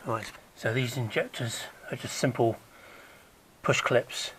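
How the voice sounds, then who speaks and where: A man with a hoarse, croaky voice talks viewers through a car repair in a relaxed, chatty way. His pitch sits mid-range for a man, and he often drops to a low mutter while his hands are busy. Faint noise hums in the background. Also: takes it slowly, rough recording. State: croaky, recovering from illness. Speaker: DIY car repair vlogger. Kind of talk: casual hands-on how-to tutorial.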